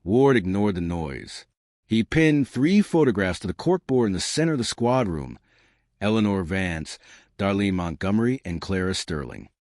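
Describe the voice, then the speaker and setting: A man reading a scripted dark mystery story as a dramatic, deliberate narrator. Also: sits low, very animated, no echo, clean studio sound.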